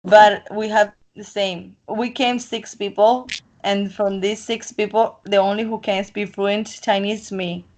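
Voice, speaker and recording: Talkative, rambling Colombian-accented woman, native Spanish speaker, confident interviewee, mid pitch. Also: fairly even intonation, unhurried, darkish tone, rough recording. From Colombian coast. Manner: talkative, rambling, self-assured. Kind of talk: casual chat.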